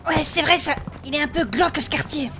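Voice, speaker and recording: A sensible, careful young heroine, acted by a woman, in a comic fantasy audio play. Her voice sits high, and she sounds practical and often a little puzzled by her companions. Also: a little fast, natural voice. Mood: cautious, weary.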